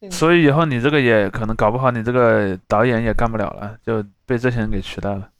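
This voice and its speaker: Man, fast talker, dry sound, casual chat, opinionated podcast host. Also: mid-range voice, smooth, no room sound.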